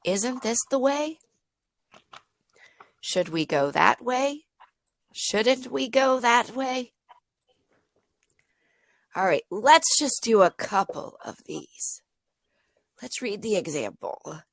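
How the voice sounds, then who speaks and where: Slow, gravelly woman tutoring a child. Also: on the high side, animated, dry recording, studio quality. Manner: slow, clear, modeling sentences aloud. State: slightly hoarse, losing her voice. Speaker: online English tutor for a child. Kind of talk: patient teaching over a video lesson.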